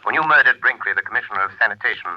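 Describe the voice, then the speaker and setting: An actor playing a calm, commanding invisible avenger in measured, grave 1930s radio drama style, through a telephone-like filter. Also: male voice, lowish voice. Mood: ominous, assured. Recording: muffled vintage 1930s radio recording.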